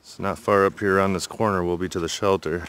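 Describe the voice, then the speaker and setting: Man, deep voice, middle-aged, easygoing rambling, outdoor forest, handheld phone. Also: steady tone, fairly quick, fairly bright tone, clear, resonant tone, no echo. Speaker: hiking vlogger. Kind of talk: casual narration on a hike.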